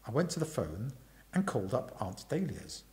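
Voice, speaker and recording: A man reading a comic story aloud in an expressive narrating style. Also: medium pitch, moderate pace. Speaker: story reader.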